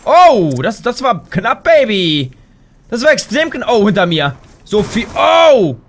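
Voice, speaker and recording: A young man commentating his own gameplay on a headset mic, excitable and quick to shout, a little hoarse and tired. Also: sits high, slight background noise. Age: young adult. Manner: excitable, exclaims and shouts at the game. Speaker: gaming YouTuber. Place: home gaming room, indoors.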